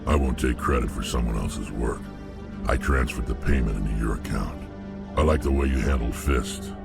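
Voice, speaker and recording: An actor voicing a huge, gruff alien bounty hunter in a video game, gravelly, blunt and menacing. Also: male voice. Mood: proud, gruff. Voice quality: gravelly, rumbling. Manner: terse, blunt, slow menace. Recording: game audio under loud music.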